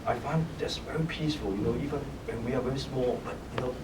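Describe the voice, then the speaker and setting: A man from Hong Kong with a Hong Kong accent, a startup founder answering audience questions in halting, restart-filled English. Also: medium pitch. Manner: halting, many restarts and "you know". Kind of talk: panel Q&A answer. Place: event hall. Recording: echoey hall, distant mic, noisy.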